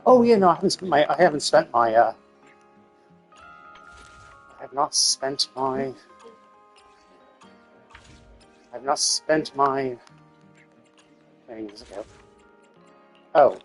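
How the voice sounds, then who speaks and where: A man livestreaming a video game with casual, sweary, exasperated commentary in a husky voice. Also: mid-range voice, animated, slow pace, long pauses, faint music behind.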